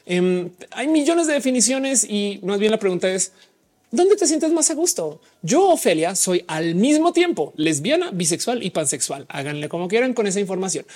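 Husky chatty woman, mixed Mexican-Colombian accent. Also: medium pitch, sing-song, normal speed, darkish tone. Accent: mixed Mexican and Colombian Spanish. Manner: casual, chatty, explains at length with slang. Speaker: livestream host. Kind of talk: live chat reading audience comments.

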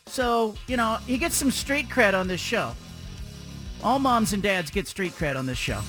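A man hosting a sports talk radio show, chatty and energetic, asking long rambling questions. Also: high voice, measured pace, soft background music.

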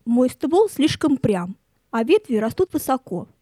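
A woman's voice: high voice, big pitch swings, a little slow, warm tone, no echo, clean studio sound, exaggerated voice. A woman playing a tall, stiff, prim tree making excuses in an audio play. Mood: evasive.

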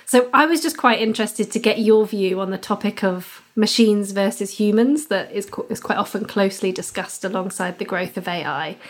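Woman podcast co-host, relaxed questioning, a few false starts. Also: medium pitch. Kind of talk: interview questions.

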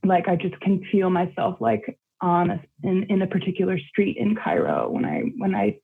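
A young adult poet with a husky, gravelly voice reads a poem and then talks it over in a loose, rambling way full of fillers. The sound comes through a thin, phone-like line. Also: mid-range voice, lively intonation, normal speed. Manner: rambling, heavy with fillers in chat. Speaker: poet and guest. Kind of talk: poetry reading, then casual chat.

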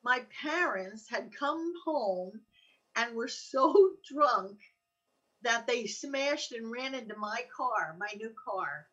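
A woman's voice: a little high, lively intonation, slow pace, bright, forceful tone, husky, recorded from a distance, muffled recording. An older American woman with a chatty, joking manner, telling casual anecdotes in conversation. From Allenhurst, New Jersey, United States. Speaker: co-host.

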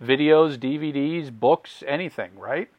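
A man's voice: on the high side, unhurried, no echo, muffled recording. A man hosting a casual podcast interview, asking long questions with stammered restarts. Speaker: podcast host.

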